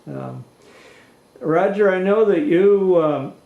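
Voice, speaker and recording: A man's voice: high-pitched, slow pace, vocal fry, phone-quality sound. A man interviewing a guest, asking rambling questions in a friendly way and chuckling between phrases. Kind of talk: oral history interview. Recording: roomy audio.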